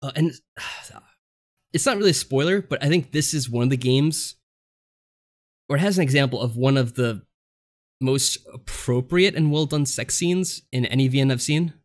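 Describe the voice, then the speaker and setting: A man's voice: mid-range voice, moderate pace. A man chatting casually as co-host of a review podcast, giving his opinions in everyday conversational speech.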